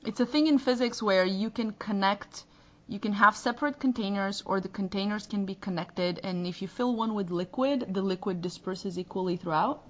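A podcast host, a woman with a Bulgarian accent, talks in a thoughtful way and often stops to restart or hunt for the right word. Her voice sits at a middle pitch. The audio is muffled and lo-fi. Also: moderate pace. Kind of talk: podcast interview.

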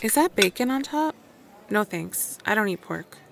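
A woman's voice: mid-range voice, expressive, moderate pace, creaky. An actress playing a defensive, snippy young career woman in an audio drama. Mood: defensive, exasperated. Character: defensive young career woman Mouse, estranged hometown friend, quick-tongued.

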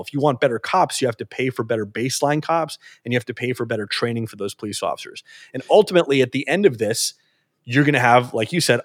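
A man explaining his view at a rapid clip, mid-pitched and earnest. He often restarts his sentences while thinking aloud. The sound is close and dry, with no room echo. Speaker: interview guest. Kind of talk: conversational interview answer.

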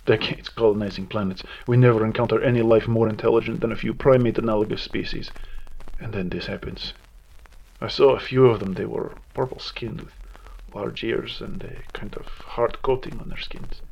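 A man's voice: on the low side, fairly even intonation, normal speed, creaky, highs cut off. A man playing a grim, weary veteran security commander, solemnly recording a formal military log in an audio drama. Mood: grave, formal, then haunted, bitter.

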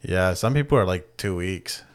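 Laid-back low-voiced man, dry teasing. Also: animated, moderate pace, clear, resonant tone. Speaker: podcast co-host. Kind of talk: casual comedic chat.

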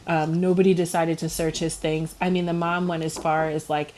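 A woman co-hosts a casual podcast. Her voice sits a bit low for a woman. She rambles in a loose, giggly way, full of fillers. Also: flat delivery, normal speed, a little mellow, slight background noise. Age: 30s. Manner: chatty, giggly, heavy on fillers. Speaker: podcast co-host. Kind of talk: casual chat.

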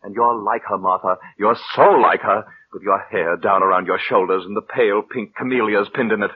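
An American actor playing a bitter, fearful schemer with intense, dramatic delivery in mid-century radio suspense style. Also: male voice, on the low side, fairly even intonation, brisk, slightly rough. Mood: bitter, accusing. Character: bitter, fearful man, scheming lover turned accuser. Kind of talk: 1940s-50s American radio suspense acting. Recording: muffled vintage radio recording.

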